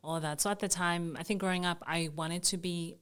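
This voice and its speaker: A young adult woman from Zambia, a guest in an interview, speaking thoughtfully with frequent ums and soft laughs. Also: on the low side, steady tone, a little fast, smooth. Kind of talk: casual conversational interview.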